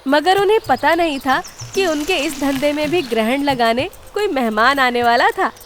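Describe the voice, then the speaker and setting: A woman's voice: sits high, moderate pace, a little hiss or hum. A woman narrating a scripted comic story for a cartoon, in the role of storyteller.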